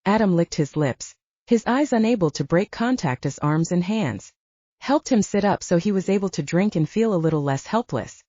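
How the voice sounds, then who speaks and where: Female audiobook narrator, dark warm tone, very dry close sound, dramatic and expressive delivery. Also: fairly low pitch, moderate pace, no echo, lo-fi sound. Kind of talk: scripted fiction narration.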